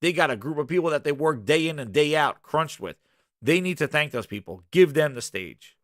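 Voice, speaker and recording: A man hosting a casual gaming livestream, hoarse and rambling, launching into animated rants. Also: fairly high pitch, fairly quick, no echo, clean studio sound. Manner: animated ranting, loose and rambling. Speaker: gaming podcast host.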